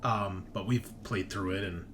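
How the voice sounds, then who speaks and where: A man acting as game master, explaining things in a casual recap style, with emphatic starts that trail off quieter. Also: low voice, steady tone, measured pace. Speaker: game master of a tabletop roleplaying show.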